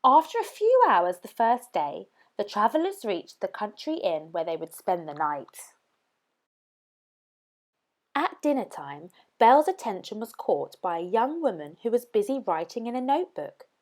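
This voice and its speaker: A woman reading a children's story aloud as narrator, with expressive, dramatic emphasis. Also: mid-range voice, moderate pace.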